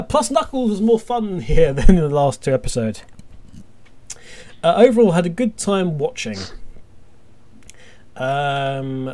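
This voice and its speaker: A man hosting a casual fan podcast, rambling excitably and jokily through stories and listener feedback. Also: fairly high pitch. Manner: excitable, jokey, meandering storytelling.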